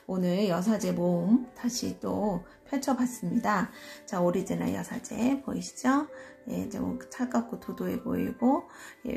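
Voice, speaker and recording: A woman from Seoul reading and explaining tarot cards in a casual solo video, talking slowly and hesitantly with many fillers and soft laughs. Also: mid-range voice. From Seoul, South Korea. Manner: slow, hesitant, self-deprecating, frequent fillers and soft laughs. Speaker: tarot reader.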